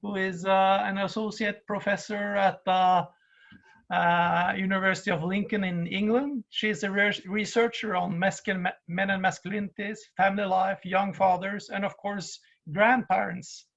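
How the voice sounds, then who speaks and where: A woman moderator introduces the next speaker in halting phrases, full of "uh" and repeated words. Her voice has a gravelly edge, and the call audio comes through dull and lo-fi. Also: mid-range voice, little pitch movement. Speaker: conference moderator.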